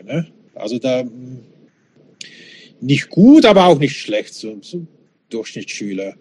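A man's voice: fairly high pitch, a little mellow. A man in his fifties from Switzerland, not a native German speaker, reminiscing casually and candidly as an interview guest. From Switzerland, born in Czechoslovakia. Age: 50s.

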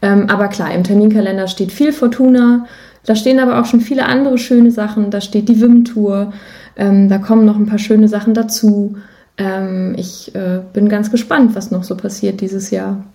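Mellow-voiced woman chatting as a guest. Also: mid-range voice, fairly even intonation, moderate pace. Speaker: podcast guest, musician and songwriter. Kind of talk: casual chat.

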